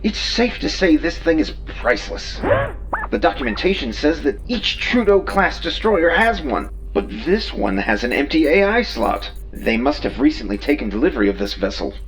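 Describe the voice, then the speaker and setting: A man's voice: fairly high pitch, very animated, moderate pace, faint music behind. A man voicing a self-assured ship's artificial intelligence in a sci-fi audio drama, precise and wordy with a dry wit. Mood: dryly proud. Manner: precise, wordy, dryly witty. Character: droll, self-assured male ship robot intelligence. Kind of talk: science fiction audio drama acting.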